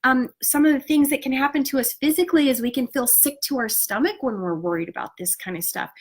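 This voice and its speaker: A woman giving casual motivational spiritual coaching to an online group. She talks outdoors, sounds stuffed up and slightly nasal, and speaks earnestly and encouragingly with frequent "you know" and "right?". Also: high-pitched.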